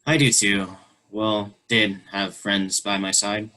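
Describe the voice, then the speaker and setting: A performer reading a teenager's part in a staged play reading over a video call, earnest and quietly emotional. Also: male voice, fairly low pitch, little pitch movement, slow. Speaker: actor reading a teen character in a play.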